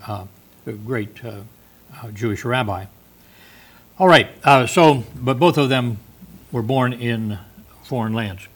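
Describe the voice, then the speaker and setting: A man's voice: medium pitch, fairly bright tone. A man teaching a Bible study, explaining verses in an unscripted, conversational way with many fillers and restarts.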